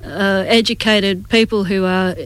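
An articulate woman with an Australian accent answers interview questions reflectively. Her delivery is measured and stays in a narrow pitch range, recorded close and dry. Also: fairly even intonation, clear, resonant tone, dry recording. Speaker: community group member, interview guest.

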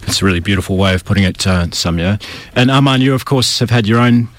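A man's voice: lowish voice, fast. A man hosting an interview, putting long, considerate questions to his guests. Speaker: interviewer, host.

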